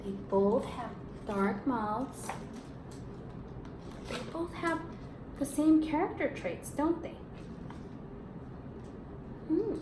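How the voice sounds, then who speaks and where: Woman, primary teacher, slow expressive read-aloud, prompting questions, roomy sound with faint noise. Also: high voice. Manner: expressive, prompting, repeats and affirms answers. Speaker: teacher reading aloud to third graders.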